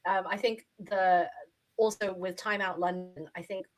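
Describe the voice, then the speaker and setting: Woman hosting, medium-pitched voice. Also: fairly even intonation, measured pace, poor audio. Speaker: panel host and moderator. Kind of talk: casual conversational moderating.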